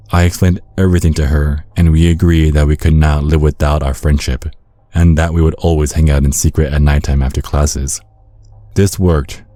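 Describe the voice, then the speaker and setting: Man playing a very deep-voiced vampire storyteller. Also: warm tone, dry recording. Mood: confiding. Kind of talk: first-person horror storytelling, ASMR.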